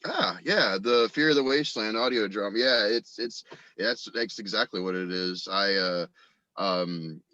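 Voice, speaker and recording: Bright, forceful low-voiced man, dull dry recording. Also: measured pace, no echo. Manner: rambling, profane storyteller, frequent "you know". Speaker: podcast guest, audio drama writer. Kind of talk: casual chat, anecdotes.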